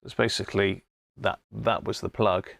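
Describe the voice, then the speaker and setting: A man's voice: lowish voice, level tone, moderate pace, gravelly, fairly bright tone, no echo, clean studio sound. A middle-aged man with an English accent chatting informally on a live stream, rambling and thinking aloud as he demonstrates things. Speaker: live stream host of a radio hobby channel. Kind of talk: casual live chat with demonstration. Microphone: wireless body-pack microphone.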